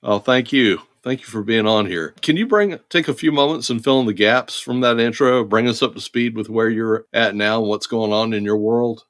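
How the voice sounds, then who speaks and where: A man in his forties with a low voice, hosting a podcast. He reads his guest's intro at an even pace and then chats in a steady way. The sound is dry and close to the mic. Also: moderate pace, hardly any pauses, no echo. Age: 40s. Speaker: podcast host.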